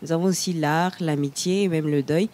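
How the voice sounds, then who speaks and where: Low, mellow woman. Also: fairly even intonation, a little fast. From Togo. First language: Lugba. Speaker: guest slam poet. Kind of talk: TV studio interview.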